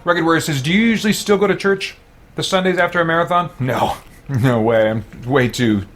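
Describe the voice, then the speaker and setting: A man's voice: a little high, a little fast, a bit bright, a little hiss or hum. A man talking casually as he streams a video game.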